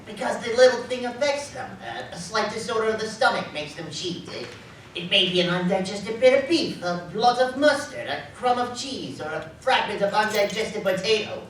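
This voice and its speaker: An actor playing a crotchety, frightened old miser in a live radio drama, with a theatrical, exclamatory delivery. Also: male voice, high-pitched, animated, moderate pace, a bit bright. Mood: scoffing, defiant. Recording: very echoey hall, distant, faint noise.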